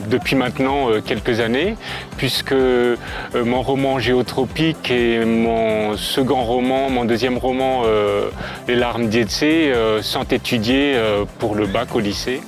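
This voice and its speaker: A man, a novelist, answering modestly and with hesitant fillers. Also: medium pitch. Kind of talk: interview answer.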